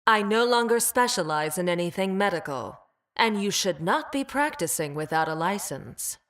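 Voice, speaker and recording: A woman voicing a cold, haughty, cat-like female bioweapon in a video game, speaking formally with measured, precise enunciation and clinical detachment. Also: mid-range voice, expressive, fairly bright tone, natural voice. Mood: stern, formal. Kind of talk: video game character voice lines.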